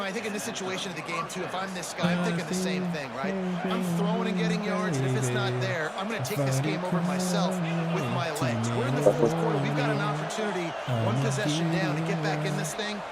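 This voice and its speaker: Fast-talking football color analyst man. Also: a little high, over loud music, over a noisy crowd. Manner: explanatory, coach's viewpoint. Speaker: color commentator. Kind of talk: scripted game analysis.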